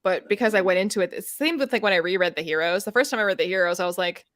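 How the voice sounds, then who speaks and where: Female book-chat host, mid pitch, dry close sound, casual and sweary, says "like" a lot and voices imagined reactions. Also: rapid-fire, fairly bright tone, dry recording. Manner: frequent "like", sweary, acts out imagined reactions in quotes. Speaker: host of a book readalong discussion. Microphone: close microphone on a live video chat.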